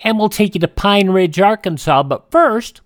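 A man hosting a classic radio program, introducing old shows in a conversational, measured way. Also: sits high, animated, no room sound, clean studio sound. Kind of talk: presenting, introducing archive shows.

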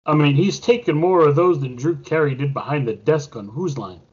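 Man in his thirties, slightly high voice. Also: normal speed, a little mellow, slightly rough. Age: 30s. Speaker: podcast co-host. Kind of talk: casual panel chat. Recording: slightly dull lo-fi recording.

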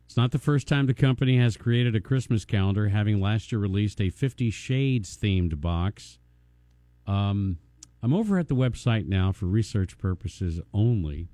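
A man's voice: on the low side, lively intonation, normal speed, dark tone, dry recording. A man co-hosting a radio show, reading a tabloid story aloud in a matter-of-fact way.

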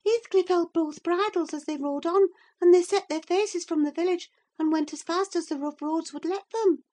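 A breathless young country maid, pitched very high above everyday speech. She gushes excitably, like a frightened storybook servant spilling news. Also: normal speed. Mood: breathless gossiping. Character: panicked, breathless young servant maid, chattering rustic gossip. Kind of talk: audiobook character acting.

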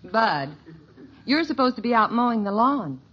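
A woman's voice: a little high, sing-song, normal speed, noisy background. An actress playing a sensible, exasperated middle-aged mother in a 1950s radio sitcom. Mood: scolding. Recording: dull vintage radio broadcast.

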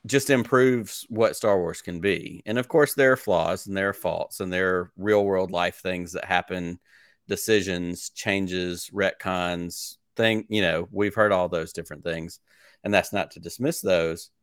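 Host, man, 40s, fan-chat energy, low voice, close dry mic. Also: steady tone, measured pace, a bit bright, smooth, no echo. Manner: enthusiastic, long-winded questions. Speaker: podcast host. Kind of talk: casual interview chat.

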